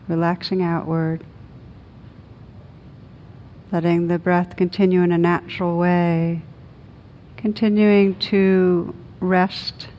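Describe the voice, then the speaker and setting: Slow, gentle woman guiding meditation. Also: lowish voice, highs cut off, a little hiss or hum. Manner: gentle, soothing, unhurried with long pauses. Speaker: meditation teacher. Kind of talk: guided meditation.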